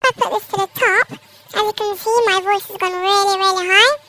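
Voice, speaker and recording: A woman speaking through a voice-changer effect that pushes her voice very high, talking casually through a tutorial. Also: fairly even intonation, moderate pace, noisy background. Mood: demonstrating. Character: squeaky sped-up chipmunk voice. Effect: voice changer raising pitch very high.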